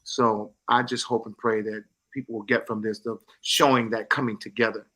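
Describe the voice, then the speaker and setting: Bright, forceful middle-aged man, earnest. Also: lowish voice, level tone, normal speed. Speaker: stage play actor. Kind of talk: promotional group interview.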